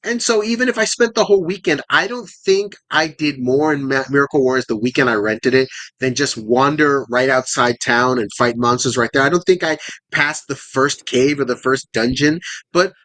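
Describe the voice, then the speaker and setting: A man's voice: medium pitch, normal speed, fairly bright tone, no echo. A man from the United States, a podcast guest chatting casually and reminiscing. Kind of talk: casual chat.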